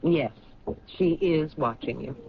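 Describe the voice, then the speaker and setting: An actor playing a patient, probing homicide detective with an American accent and measured, hesitant questioning, in 1970s radio drama style. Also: male voice, a little high. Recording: dull, noisy old radio recording.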